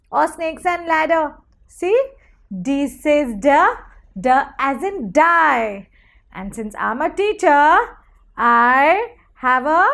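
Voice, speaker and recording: A woman teacher leading a phonics lesson for young children, enunciating deliberately and giving encouraging prompts and praise. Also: very high voice. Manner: animated, encouraging, deliberate enunciation with repeated call-and-response questions.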